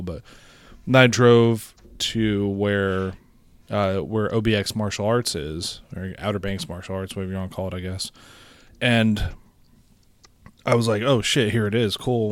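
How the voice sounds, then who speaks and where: A man's voice: fairly low pitch, no echo. A young man from North Carolina co-hosting a casual podcast, telling stories in a rambling way with plenty of swearing and laughs. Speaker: podcast co-host. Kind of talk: casual chat.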